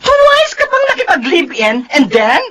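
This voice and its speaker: A woman's voice: very high-pitched, wildly swooping pitch, measured pace, a bit bright, slight background noise, full character voice. A woman voicing a sassy, flamboyant cousin in a radio drama, with a Filipino accent and a campy, exclamatory manner. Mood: sassy scolding.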